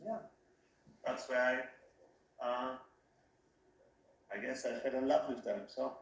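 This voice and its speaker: A man from Austria, a company head being interviewed, speaking in a halting way and often restarting his phrases. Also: mid-range voice, steady tone, gravelly. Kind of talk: casual interview talk. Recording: roomy echo.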